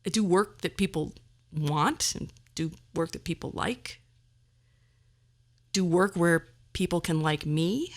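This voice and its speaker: A woman's voice: lowish voice, slow pace, long pauses. Wry, theatrical middle-aged woman podcaster. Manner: wry, self-deprecating humour with chuckles. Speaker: podcast host. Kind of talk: personal monologue on a podcast.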